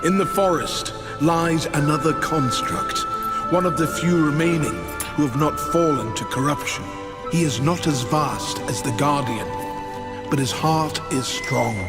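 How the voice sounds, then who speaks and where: An actor playing an old Maker, a weary and wise craftsman, speaking in slow, solemn, measured tones in a video game cutscene. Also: fairly high pitch, over loud music. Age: older. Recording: reverberant, game score behind.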